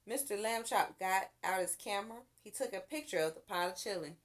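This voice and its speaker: A woman's voice: mid-range voice, brisk. A woman reading a children's story aloud expressively, as if to young listeners. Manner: expressive, with character voices and asides to listeners.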